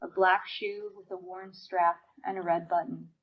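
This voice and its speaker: A woman's voice: lowish voice, level tone, a little slow, dull audio. A woman reading a novel excerpt aloud as a dramatic performance, in scripted narration.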